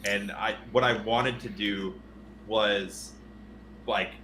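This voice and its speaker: A man's voice: fairly low pitch, steady tone, takes it slowly, bright, forceful tone. A man in his thirties co-hosting a podcast, chatting casually about films and often repeating words as he goes. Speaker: podcast co-host. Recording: roomy echo, faint noise.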